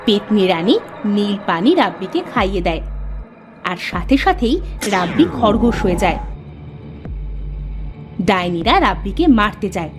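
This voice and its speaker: A woman storyteller reading scripted narration for a cartoon fairy tale. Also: mid-range voice. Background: background music.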